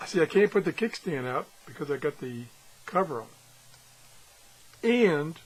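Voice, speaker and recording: A man's voice: on the high side, a bit bright, poor audio. A man giving a casual, unscripted product demonstration as a reviewer, rambling and thinking aloud with broken-off asides. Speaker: radio reviewer.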